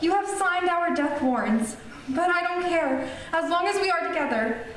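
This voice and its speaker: Woman playing a very high melodramatic heroine. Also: expressive, moderate pace. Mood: devoted. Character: devoted, anxious young heroine in peril. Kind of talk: old-time radio serial acting. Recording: echoey stage, distant mic, faint noise.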